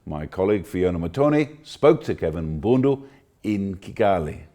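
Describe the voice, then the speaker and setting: A man hosting a business TV program, introducing the story in a smooth, measured scripted delivery. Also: low-pitched, sing-song, noisy background. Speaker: TV host. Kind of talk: scripted presenting.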